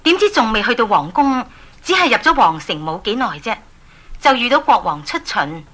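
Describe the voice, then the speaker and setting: A woman with a Hong Kong accent narrating a Cantonese children's fairy tale in an expressive storytelling manner. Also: fairly high pitch, moderate pace. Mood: animated.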